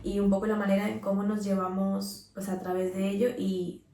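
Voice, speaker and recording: A young Colombian-accented woman co-hosts a casual podcast. Her tone is soft-edged and mellow, with little rise and fall in pitch. She sounds set back from the mic in an echoey space. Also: monotone, brisk, slight background noise. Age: 20s. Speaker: podcast co-host. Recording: roomy echo, distant from the mic.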